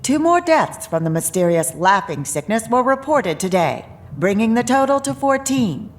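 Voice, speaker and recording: Brief: a brisk evening newsreader, bright and lively. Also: female voice, fairly high pitch. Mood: newsreading. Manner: crisp newsreader delivery. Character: composed female TV news anchor.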